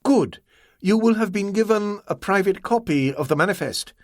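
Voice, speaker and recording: Storybook role: an icy, scornful merchant, deliberate and precise, voiced by the male narrator. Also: high-pitched, lively intonation, dry recording, clean studio sound, voice pushed up. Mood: cold. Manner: slow, clipped, coldly formal. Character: cold, contemptuous older male merchant, slow and formal.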